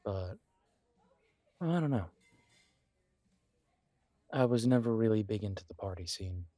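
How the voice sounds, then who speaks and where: A man playing a friendly, reassuring homebody best friend in an intimate one-sided roleplay audio, talking casually in halting, gently encouraging phrases. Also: on the low side, lively intonation, no room sound. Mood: gentle, reassuring.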